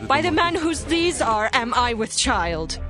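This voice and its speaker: A woman's voice: high voice, big pitch swings, a little slow. Woman playing a shrewd biblical widow over music. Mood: composed challenge. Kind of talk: dramatized scripture acting.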